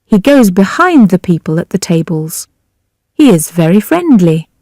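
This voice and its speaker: A woman reading a simple story for language learners in a deliberate, carefully enunciated scripted narration. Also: lowish voice, very animated, warm tone, no room sound, studio quality. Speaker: audiobook narrator.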